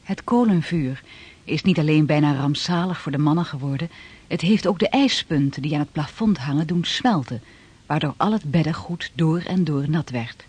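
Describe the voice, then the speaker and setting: A man narrating a radio drama from a script, with a husky voice and a factual, storytelling delivery. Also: a little high, moderate pace, a little mellow.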